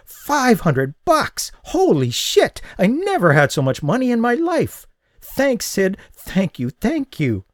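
A man voicing a boisterous, quick-tempered young woman who squeals and swears, in an audiobook. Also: a little high, sing-song, a little mellow, no echo, studio quality, voice pushed up. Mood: elated, tipsy. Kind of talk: audiobook character voice.

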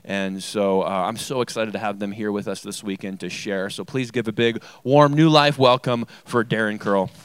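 A male pastor giving an informal church introduction, speaking earnestly and haltingly and repeating phrases. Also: on the low side, fairly even intonation, clean tone.

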